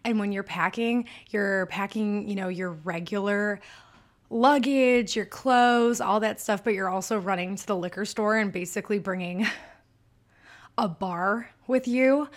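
Upbeat Michigan-accented woman, solo podcast host, chatty and bubbly, mid-pitched. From Michigan, USA.

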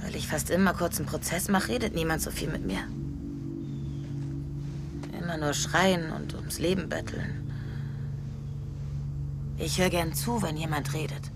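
A woman's voice: deep voice, measured pace, gravelly, long pauses. An actress playing a fatalistic, menacing female killer who talks in a blunt, matter-of-fact way in a dubbed drama series. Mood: candid, ominous. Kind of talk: German-dubbed TV series dialogue. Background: music.

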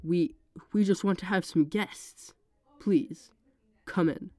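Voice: mid-range voice, slow pace, mellow, no room sound, voice pushed up, close to an everyday voice. A congested narrator voicing an infected woman, sweetly menacing and coaxing. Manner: coaxing, sing-song.

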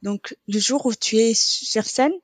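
A young woman speaking French as a non-native, talking as a podcast guest and pausing often on filler sounds. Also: a little high, no echo. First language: neither French nor English, trilingual. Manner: hesitant, many filler sounds. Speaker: podcast guest, quality coach. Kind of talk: casual interview talk.

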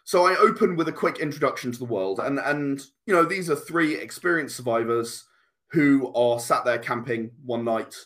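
Mid-pitched man explaining in halting conversation. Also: a bit bright. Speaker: podcast guest, comic writer.

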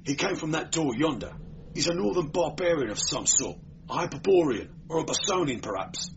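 A man's voice: fairly high pitch, animated, a little fast, vocal fry, pitched above the performer's own voice. A man voicing an anxious, dutiful night watchman who explains earnestly in an audiobook story. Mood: accusing. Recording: dull, noisy amateur recording.

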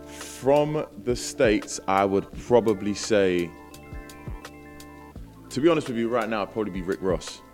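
Man, from Britain, bilingual Greek and English, low voice, informal, reflective, slangy, musician interviewee, faint background music. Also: unhurried, long pauses, a little mellow. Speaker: rapper and musician being interviewed. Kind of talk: casual interview chat.

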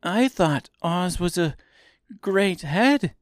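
Man putting on a high, earnest little girl. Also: lively intonation, slow, no room sound, clean studio sound. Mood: puzzled. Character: Dorothy, earnest, bold young girl.

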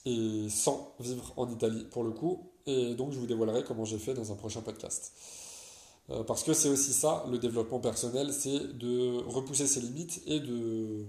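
A man's voice: medium pitch, steady tone, normal speed, mellow. A young man from France talking through his solo podcast, loose and chatty, full of hesitations and restarts. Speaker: podcast host.

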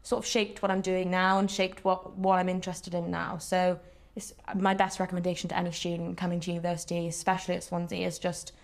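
Young woman, student officer, pretty monotone, lots of "sort of". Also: fairly low pitch, fast, vocal fry. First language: Welsh. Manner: even, steady, with many "sort of" fillers. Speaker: students' union officer, guest. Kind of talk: casual interview answers.

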